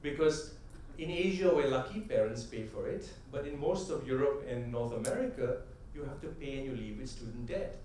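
A middle-aged man giving an animated keynote talk on stage with a headset mic, telling stories and asking rhetorical questions. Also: medium pitch, moderate pace, hardly any pauses, a little hiss or hum. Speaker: conference speaker. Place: large conference hall stage. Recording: echoey hall, heard from a distance.

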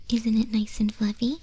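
A woman playing a proud, caring young wolf girl in a soft, breathy whispered ASMR roleplay. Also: a little high, poor audio.

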